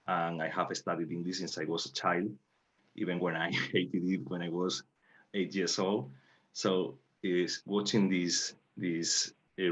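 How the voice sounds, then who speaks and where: A man's voice: sits low, fairly bright tone. A man with a Spanish accent, a native Spanish speaker, giving reflective interview answers and searching for words as he goes. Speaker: playwright on a panel. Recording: dull, lo-fi audio.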